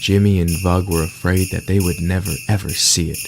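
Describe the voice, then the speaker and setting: A man's voice: low-pitched, level tone, brisk, over music. A man playing a theatrical narrator, telling a rhyming story in a radio play. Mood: wry. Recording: clearly noisy background.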